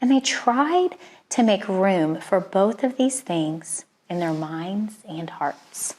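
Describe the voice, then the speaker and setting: Female storyteller, mid-pitched voice, expressive children's read-aloud with deliberate phrasing.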